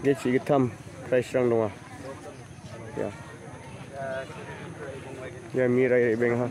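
Man, casual phone vlogger outdoors, medium pitch, easygoing chatter. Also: level tone, takes it slowly. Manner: chatty, greets people as he walks. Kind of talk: casual walking vlog. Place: outdoors at a rural fishing pond fair. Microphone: handheld phone camera.

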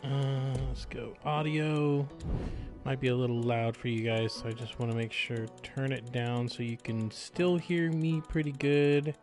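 A man's voice: medium pitch, steady tone, a little slow, darkish tone. A man chatting casually as he live-streams a video game. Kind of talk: casual game commentary chat. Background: game audio and music.

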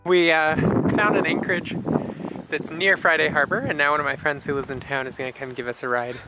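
A woman's voice: low voice, brisk, loud music behind the voice, low-quality recording. A woman in her thirties talking casually to a handheld camera on a sailboat, explaining things with plenty of fillers. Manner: relaxed, explanatory, with many fillers. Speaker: sailing vlogger. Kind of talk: casual vlog narration to camera. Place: outdoors on a sailboat on open water. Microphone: handheld camera at arm's length. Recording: loud wind noise on the microphone. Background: wind and water.

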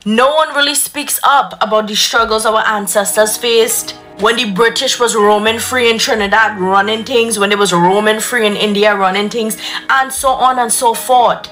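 A woman's voice: fairly high pitch, normal speed, a bit bright, faint music behind. A young woman talking straight to her viewers from a room, in an earnest, preachy way. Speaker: content creator. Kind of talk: casual talk to camera. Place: indoor room. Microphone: phone at arm's length.

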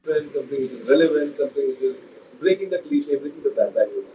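A man's voice: medium pitch, lively intonation. A man in his thirties whose first language is Hindi, an actor talking casually in an interview over a video call, with many restarts. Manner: rapid, restarts often, mixes English and Hindi. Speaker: actor guest. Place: indoor room by a wall. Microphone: laptop or phone camera on a video call. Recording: dull distant video call audio with faint hiss.

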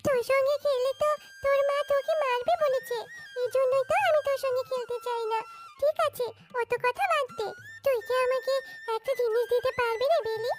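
An earnest little animal girl from a children's cartoon, played by a woman. Her voice is extremely high and childlike, with a pleading way of talking. Music plays underneath. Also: steady tone, brisk. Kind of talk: cartoon voice acting. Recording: music behind the voice.

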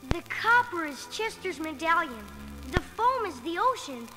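A woman's voice: very high-pitched, big pitch swings, measured pace, exaggerated voice, poor audio. A woman voicing an eager young treasure-hunting boy on a read-along storybook record, with music behind.

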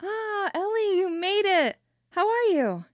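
A woman's voice: very high voice, big pitch swings, takes it slowly, no echo, studio quality, full character voice. A young woman playing a sociable, insistent friend in an amateur audio drama. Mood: welcoming.

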